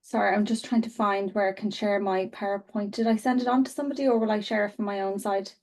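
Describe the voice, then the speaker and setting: A woman's voice: medium pitch, steady tone, fast, darkish tone. A woman presenter whose first language is Irish Sign Language, apologetic and slightly flustered on a video call. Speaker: webinar presenter. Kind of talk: casual talk while setting up on a video call.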